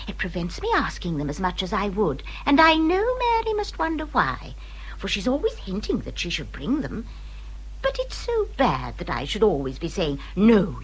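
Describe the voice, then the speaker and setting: An actress playing a middle-aged country gentlewoman in a period radio drama, affectionate and fussy, with an English accent and an effusive, confiding manner. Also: medium pitch, breathy edge, rough recording.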